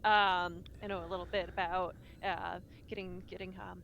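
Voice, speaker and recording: Halting, stammering woman playing a tabletop game. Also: lowish voice, flat delivery, vocal fry, fairly bright tone. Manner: halting, repetitive stammering delivery. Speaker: tabletop role-playing player. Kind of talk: improvised in-character game talk. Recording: faint noise on live stream.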